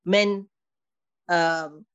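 A woman's voice: on the low side, steady tone, very slow, smooth, highs cut off. A woman in her fifties chatting thoughtfully in a casual conversation. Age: 50s. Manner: thoughtful, laughs between points. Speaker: discussion participant.

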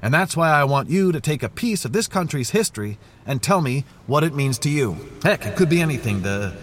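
A man's voice: a little high, sing-song, brisk. A man acting in a satirical audio drama as a flustered, sarcastic, self-important foundation director, stammering and wry. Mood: rousing, theatrical. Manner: stammering, wry, theatrical in speeches. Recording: faint vehicle noise behind. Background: moving car ambience.

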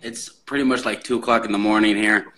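A man's voice: lowish voice, steady tone, fairly quick, fairly bright tone, poor audio. A young man from Saudi Arabia with an American accent, chatting in an easygoing, friendly way with a streamer over a video call. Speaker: viewer calling in. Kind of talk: casual chat on a video call.

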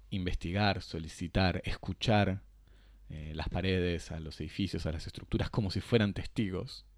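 A man's voice: deep voice, darkish tone, no room sound, clean studio sound. A man giving an academic talk on a panel, hesitant and full of fillers and restarts. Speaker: panel speaker.

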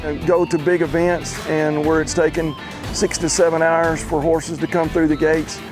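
Flat-voiced man over loud music. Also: on the high side, normal speed, poor audio. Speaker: racehorse trainer. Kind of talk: interview clip.

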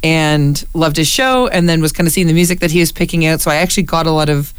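A loud, deep-voiced woman chats in a radio studio, stumbling through fillers and restarts. Her tone is smooth and resonant, and the studio sound is close and dry. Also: fast, dry recording. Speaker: community radio station staff. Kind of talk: casual radio conversation.

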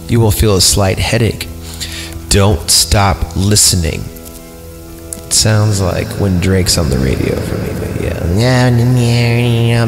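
A man hosting a podcast, reading a horror story irreverently with sweary, goofy asides and mocking ad-libs. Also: lowish voice. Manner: sweary, goofy, breaks off to ad-lib and mock the text. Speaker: podcast host. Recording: long reverb, loud music behind. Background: eerie film score music.

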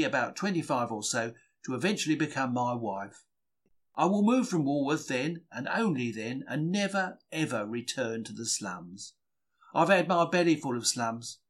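A man reads diary entries aloud as a dramatic first-person account. His voice sits a little high and moves in lively swings, with an expressive, wry storytelling manner. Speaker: diary reader.

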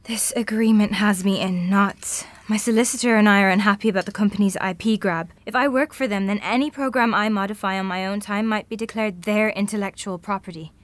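An actress playing a tired, wry futurist at home in an audio drama. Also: female voice, medium pitch, steady tone, a little fast, few pauses, no echo, lightly acted. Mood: earnest. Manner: weary, wry. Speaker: futurist, apartment owner. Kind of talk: radio drama acting.

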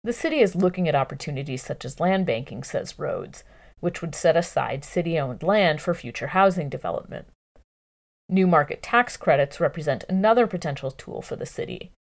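A woman narrating a long-form news article from a script in a measured, clearly phrased way. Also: sits low, animated.

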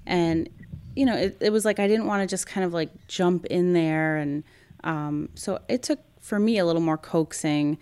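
A woman's voice: lowish voice, normal speed, darkish tone, clean tone. A woman from Brooklyn, an EMS worker talking casually and hesitantly, with many fillers and restarts. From Brooklyn, New York. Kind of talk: casual podcast conversation.

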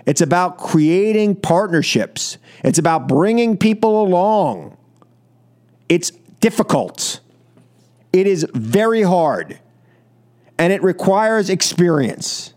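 High-pitched man hosting talk radio. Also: big pitch swings, takes it slowly, a little mellow. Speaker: radio talk show host. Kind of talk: live political call-in talk radio. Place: radio studio.

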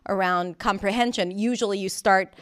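Smooth-voiced woman, native Hebrew speaker. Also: mid-range voice, fairly even intonation, normal speed, fairly bright tone. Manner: earnest, explanatory, with frequent restarts. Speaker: pronunciation teacher. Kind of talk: conversational interview answers.

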